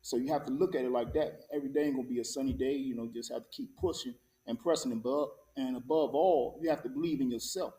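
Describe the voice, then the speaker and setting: A man's voice: mid-range voice, fast, hardly any pauses, warm tone. A man giving casual, folksy advice as an interview guest, pressing his points by repeating them. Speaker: stage actor, show guest. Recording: echoey room, distant from the mic.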